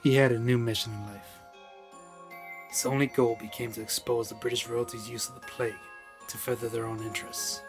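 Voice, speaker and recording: A man playing a world-weary investigator in a radio drama, speaking in a grave, deliberate, dramatic way. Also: medium pitch, level tone, music in the background, lightly acted.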